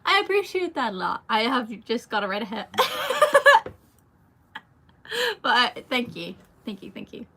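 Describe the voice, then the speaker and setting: A woman's voice: on the high side, wildly swooping pitch, a little slow, long pauses, bright, forceful tone, slightly rough. A young adult woman, a singer, chatting casually through a livestream Q&A with lots of fillers and a rambling manner. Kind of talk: casual livestream Q&A, reading viewer comments.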